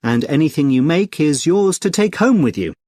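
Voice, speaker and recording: A man with a British accent playing a friendly, helpful club secretary in a clearly enunciated scripted listening-test conversation, polite and explanatory. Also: a little high, lively intonation, moderate pace, darkish tone, dry recording, clean studio sound. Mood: helpful, informative. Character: friendly, helpful male secretary of a conservation group. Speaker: actor in a listening test dialogue.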